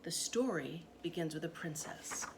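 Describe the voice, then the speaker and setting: A woman playing a stern, mysterious old grandmother in a storybook, speaking in a slow, deliberate, ominous way. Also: low voice, animated, creaky. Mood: grave, mysterious. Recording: noticeable background noise.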